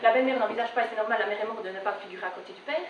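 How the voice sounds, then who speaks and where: A woman's voice: medium pitch, rapid-fire, recorded from a distance, natural voice. A woman on stage playing an exasperated bride in a dramatic, emphatic theatrical monologue. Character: exasperated bride recounting family wedding-invitation squabbles. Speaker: amateur theatre actress. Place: theatre stage at a dress rehearsal. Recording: echoey stage recorded from afar, faint noise.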